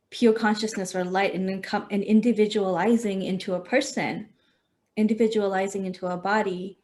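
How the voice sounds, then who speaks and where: A woman's voice: medium pitch, a little mellow. A woman from Malaysia hosting a podcast, talking hesitantly and reflectively about herself, laughing often. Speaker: podcast host. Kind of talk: personal conversation.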